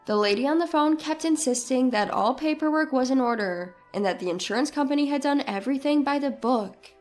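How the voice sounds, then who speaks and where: A woman narrates a scripted first-person story. Her voice sits on the high side. Music plays behind her. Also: fairly quick. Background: background music.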